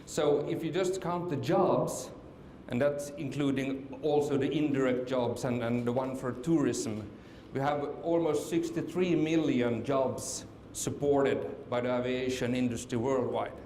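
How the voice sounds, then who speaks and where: A man's voice: mid-range voice, measured pace, few pauses, dark tone. Finnish man presenting in an echoey hall. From Finland. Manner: hesitant, many fillers and restarts. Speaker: conference speaker. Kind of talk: conference presentation. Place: conference hall. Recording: echoey hall with faint noise.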